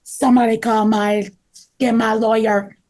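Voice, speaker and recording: A woman's voice: a little high, flat delivery, unhurried, darkish tone, close to an everyday voice. A woman playing a crooked, blustering male hotel tycoon villain in a table read, indignant and defiant. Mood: defiant, rattled.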